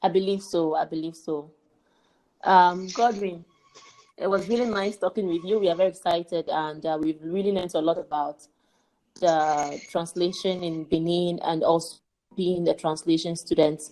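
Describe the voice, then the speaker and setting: A woman hosting a podcast, asking friendly, curious questions in a relaxed interview. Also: on the low side, moderate pace, a little hiss or hum, low-quality recording. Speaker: podcast host.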